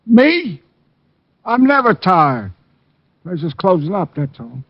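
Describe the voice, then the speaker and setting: A man's voice: high-pitched, big pitch swings, slow, dry recording, exaggerated voice. An actor playing a surly, nervous male boxer in an old radio crime drama, blustering defensively in short retorts. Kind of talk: 1940s-50s radio crime drama acting. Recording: muffled old radio recording.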